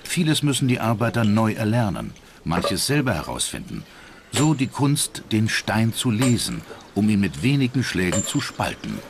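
A man's voice: lowish voice, big pitch swings, moderate pace, noisy background. A man reading scripted documentary narration as the narrator. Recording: voice over background music. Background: music.

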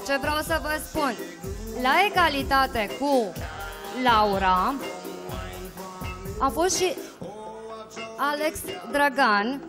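High-voiced woman emceeing a karaoke awards night. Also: pauses often, over music. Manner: lively, rallying the crowd for applause. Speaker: awards presenter. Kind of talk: live stage emcee announcing winners. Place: stage of a rock cafe with karaoke audience. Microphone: handheld microphone.

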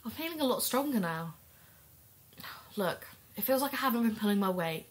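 A woman's voice: a little high, lively intonation, moderate pace, close to an everyday voice. Sincere, determined female podcast investigator played by an actress. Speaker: podcast co-host. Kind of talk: audio drama acting.

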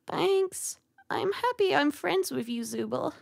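A woman's voice: high-pitched, animated, slow, vocal fry, no echo, studio quality, exaggerated voice. A woman voicing a timid, insecure, sweet mask creature in a cartoon dub, with a plaintive, fragile manner. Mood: shy, sweet. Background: music.

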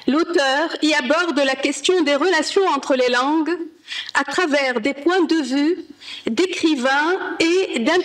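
A woman presenting an academic paper at a conference, reading in a slow, measured way with careful pauses. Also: high-pitched, low-quality recording. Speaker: conference speaker. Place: conference hall.

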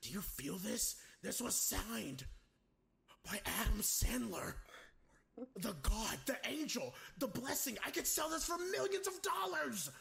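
A man's voice: very high voice, very animated, a little slow, creaky, sharp tone, full character voice. A man voicing a pompous, melodramatic middle-aged cartoon villain in an improvised comedy dub. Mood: awed, greedy.

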